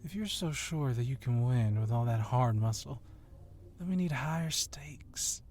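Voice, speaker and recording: A man's voice: medium pitch, animated, a little slow, darkish tone, slight background noise, natural voice. A man playing a confident, sly policeman wrestler who teases and goads his rival in an ASMR roleplay. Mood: taunting. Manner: teasing, needling.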